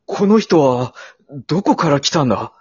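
A man acts out a sceptical, flustered father in a comedy radio drama. The voice is husky and jumps around expressively, always ready to protest. The sound is a little dull. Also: fairly high pitch, animated. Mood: bewildered. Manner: exasperated, quick to protest.

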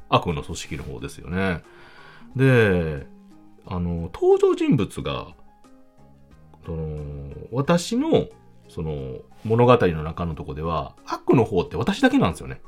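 A man with a Kansai accent chats casually on his own as a podcast host. His lowish voice swings very widely up and down as he talks in a chatty, emphatic way. Music plays clearly behind him. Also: wildly swooping pitch, slow pace, a little mellow. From Kansai, Japan. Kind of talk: casual solo chat. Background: background music.

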